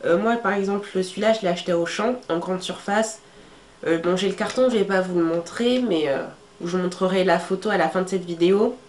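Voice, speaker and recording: A woman's voice: lowish voice, a little fast, a little mellow. A young woman vlogger, congested from a cold, chatting casually to camera with many fillers as she shows her hair tools. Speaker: beauty vlogger. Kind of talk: casual how-to talk to camera. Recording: echoey room with faint ambient noise.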